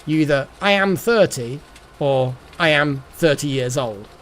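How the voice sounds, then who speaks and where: Chatty game streamer guy, stumbles over his words, voice a bit on the high side. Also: expressive, unhurried, fairly bright tone, slight background noise. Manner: rambling, repeats words, muses aloud. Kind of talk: casual live stream chat.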